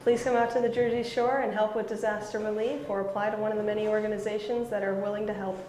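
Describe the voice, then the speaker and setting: Young woman team leader interview, pretty flat tone, echoey room w people around. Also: mid-range voice, fast talker. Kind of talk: on-camera interview. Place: small room under renovation indoors. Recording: echoey room with busy background voices.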